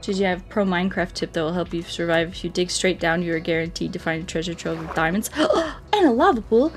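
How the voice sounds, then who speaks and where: A woman's voice: lowish voice, quick pace. A woman chatting casually as she livestreams a game, playful and self-deprecating, speaking expressively to her viewers. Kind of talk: casual gameplay chat, reading out viewer comments. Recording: music playing behind the voice. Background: game music.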